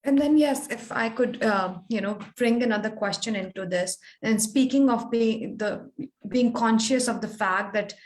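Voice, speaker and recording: A woman's voice: on the high side, normal speed, echoey room, poor audio. A woman moderating a webinar over a video call, posing a question politely with many restarts and hesitations.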